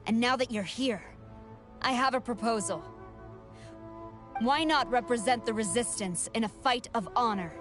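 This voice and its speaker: A woman's voice: fairly high pitch, normal speed, pauses often, over music, lightly acted. A woman playing a proud, commanding army general in a video game, speaking in a formal, declamatory way. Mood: cool, challenging.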